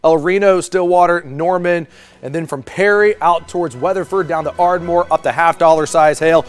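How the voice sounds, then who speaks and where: A man's voice: on the high side, moderate pace. An American man presenting a severe weather forecast on television.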